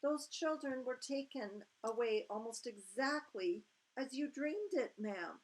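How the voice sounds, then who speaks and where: A woman's voice: high voice, lively intonation, unhurried, pitched above the performer's own voice, rough recording. A woman reading aloud and voicing an older palace maid who speaks in a deferential, quivering way. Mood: anxious.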